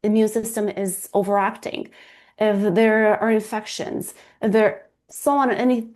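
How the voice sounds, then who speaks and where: A woman's voice: mid-range voice, a little mellow. A woman in her thirties from Romania with a Romanian accent, a guest fertility coach talking earnestly in an interview, often restarting as she searches for words. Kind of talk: casual interview talk.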